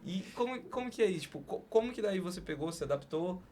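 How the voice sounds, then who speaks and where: Higher-pitched Brazilian man, casual podcast host. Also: animated, fast, warm tone. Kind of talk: casual interview chat with slang.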